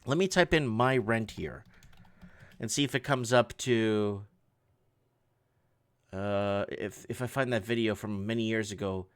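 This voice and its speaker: A man's voice: lowish voice, clean tone, no room sound. Man reading news with stumbling asides. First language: Portuguese. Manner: halting, restarts often, reads articles aloud with asides. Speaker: online news show host. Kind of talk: casual commentary reading headlines.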